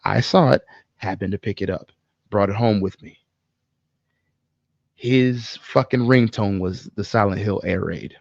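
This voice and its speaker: A man co-hosting a podcast, telling stories in an animated, slangy, casual way with plenty of swearing. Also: lowish voice, measured pace, vocal fry, pauses often, dry recording, lo-fi sound. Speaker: podcast co-host. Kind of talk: casual chat and storytelling.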